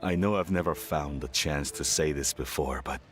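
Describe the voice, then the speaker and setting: An actor playing a reserved, proud father who talks in a gentle, halting way with awkward chuckles. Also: very deep voice, faint music behind. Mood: tender. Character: gruff older father, reserved but proud. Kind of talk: video game voice acting.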